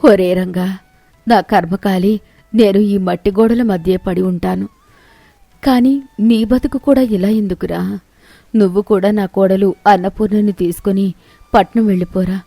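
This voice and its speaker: A woman's voice: mid-range voice, fairly even intonation, unhurried, vocal fry, mellow, no echo, soft background music. A woman voicing a sorrowful old widowed village mother in a moral tale, lamenting plaintively to her son. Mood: resigned, pleading.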